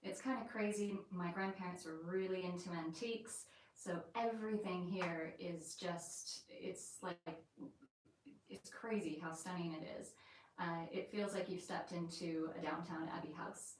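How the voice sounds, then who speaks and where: A woman's voice: fairly low pitch, steady tone, measured pace, slight background noise. Chatty woman in an echoey old house. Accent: American mixed with light Yorkshire. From England and the United States. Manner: chatty and rambling, with many fillers. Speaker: vlogger hosting a live stream. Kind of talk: casual live-stream chat. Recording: very echoey room, mic at a distance.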